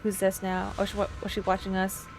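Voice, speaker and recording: A woman's voice: medium pitch, completely flat delivery, brisk, gravelly, a little hiss or hum. A woman streamer giving casual, playful and wry reaction commentary on a game, close to the microphone. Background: faint game music.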